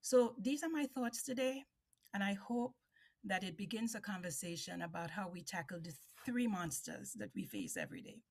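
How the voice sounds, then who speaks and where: A middle-aged woman with a Trinidadian accent, speaking slowly and emphatically on a video call panel, repeating key phrases. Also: mid-range voice, sing-song, darkish tone. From Trinidad and Tobago. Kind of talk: webinar presentation.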